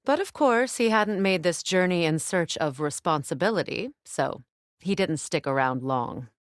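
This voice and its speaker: An actress playing a proud, battle-hardened middle-aged warrior goddess in a video game, with a measured, commanding, dry manner. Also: female voice, on the low side, animated, dry recording, natural voice. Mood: calm, reflective. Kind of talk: video game character dialogue and battle callouts.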